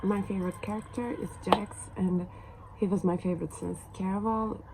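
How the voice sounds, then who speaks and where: A woman's voice: mid-range voice, warm tone. A young woman from Turkey with a Turkish accent, chatting hesitantly to camera as a book vlogger, with many fillers and restarts. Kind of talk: casual chat to camera. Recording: noisy background.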